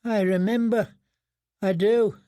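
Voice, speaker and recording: A man's voice: high-pitched, fairly even intonation, slow, dark tone, no echo, studio quality, pitched above the performer's own voice. A man voicing a broken, starving prisoner who sounds aged, mumbling and pleading in a thin, weak voice for an audiobook. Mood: terrified, broken.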